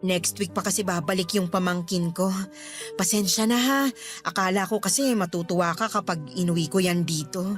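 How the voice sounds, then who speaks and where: A woman's voice: lowish voice, normal speed, soft background music, lightly acted. A woman playing a long-suffering middle-aged girlfriend in a Tagalog radio drama, answering with dry retorts. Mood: restrained, apologetic.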